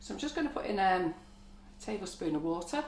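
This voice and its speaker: An older woman teaching a baking class from her kitchen, chatty and relaxed in a soothing teaching manner. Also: medium pitch, fast talker. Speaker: cake decorating teacher. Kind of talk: live baking class demonstration. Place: home kitchen. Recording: echoey kitchen, faint noise.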